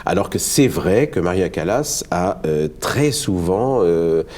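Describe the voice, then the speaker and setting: Deep husky man, earnest and reflective. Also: animated, a little slow, a little mellow. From France, Le Havre. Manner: earnest, reflective, hesitant restarts. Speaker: guest musician. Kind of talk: studio cultural discussion.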